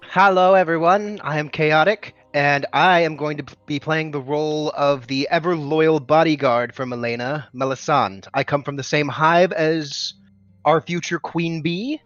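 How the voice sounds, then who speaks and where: A man's voice: on the high side, unhurried, fairly bright tone, dry recording. Male tabletop player, dry muffled recording, presenting a role, faint music. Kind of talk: character introduction in an online tabletop game.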